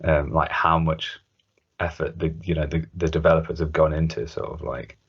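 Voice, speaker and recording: Very deep, husky man's voice. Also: fairly even intonation, moderate pace. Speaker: podcast co-host. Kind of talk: casual chat about retro cartoon video games. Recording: dull, muffled lo-fi audio.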